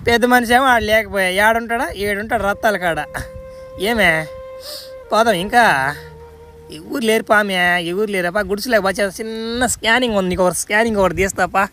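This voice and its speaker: A man's voice: high-pitched, fairly even intonation, fairly quick, sharp tone, soft background music. A man voicing a crafty, blustering middle-aged village headman in a cartoon comedy, slangy and repetitive. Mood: sly, coaxing. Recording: noticeable background noise.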